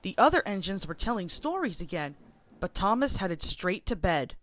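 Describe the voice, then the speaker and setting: Female storybook narrator, lively and expressive intonation, music bed under the read. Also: medium pitch, normal speed, slight background noise. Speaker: storybook reader.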